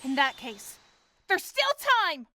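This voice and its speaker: Woman playing a peppy young female detective, very high-pitched, bright, eager, emphatic, earnest, game dub. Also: animated, takes it slowly, no room sound, clean studio sound, heavily acted. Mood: earnest, determined. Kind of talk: game fandub acting.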